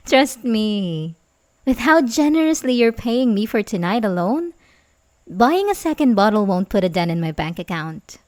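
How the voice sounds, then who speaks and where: A woman plays a young babysitter in an intimate ASMR roleplay, sweet on the surface and quietly obsessive underneath. Her voice is on the high side and she takes her time, halting and giggling softly as she coaxes the listener. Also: very animated. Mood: coaxing, playful. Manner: hesitant, halting, with little giggles. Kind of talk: ASMR roleplay acting, intimate one-sided dialogue.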